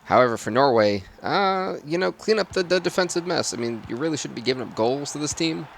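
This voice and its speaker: A man with a raspy voice doing live football game commentary and stream chat in sportscaster phrasing. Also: fairly high pitch, expressive, brisk, a little hiss or hum.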